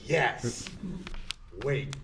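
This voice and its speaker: An actor at a table read playing a childlike, easily confused office worker with halting, puzzled speech. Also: male voice, a bit bright. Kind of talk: live comedy script table read. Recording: faint noise, live room table read.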